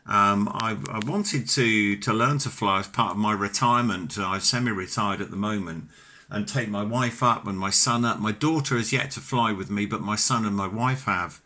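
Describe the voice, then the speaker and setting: A man's voice: on the low side, moderate pace, pushed and bright. A middle-aged British man chatting casually as an amateur radio operator, courteous and talkative. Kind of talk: casual ham radio chat.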